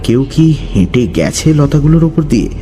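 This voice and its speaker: A man narrating a scripted horror story in a dramatic, suspenseful way, with deliberate pauses. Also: on the high side, big pitch swings, dark tone, faint music behind.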